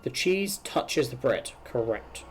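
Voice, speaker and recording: A man is streaming a puzzle game with its music playing behind him. He reads the clues aloud and reasons through them step by step. His voice sits at a middling pitch as he gives his running commentary. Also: big pitch swings, slow. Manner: thinks aloud while working through puzzles. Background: video game music.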